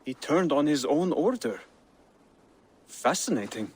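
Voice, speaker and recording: An actor playing an earnest, scholarly member of a secret assassin order in a video game, with polite, thoughtful, formal speech. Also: male voice, fairly high pitch, very animated, measured pace, a little mellow, breathy edge, heavily acted. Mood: intrigued. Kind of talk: dramatic video game acting.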